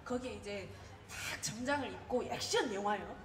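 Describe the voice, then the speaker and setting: A woman's voice: mid-range voice, normal speed. Witty Jeolla woman on a stage. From Gwangju, South Korea. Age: 30s. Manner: witty, animated storytelling. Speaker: contest participant. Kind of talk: humorous prepared speech. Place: event hall. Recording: roomy hall, distant mic, faint noise.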